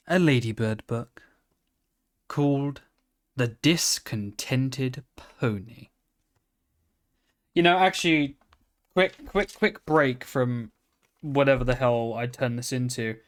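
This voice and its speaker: A man's voice: medium pitch, slow pace, pauses often. A man hosting his own video, chatting casually in a rambling, jokey and offhand way. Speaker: video host. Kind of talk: casual chat to camera.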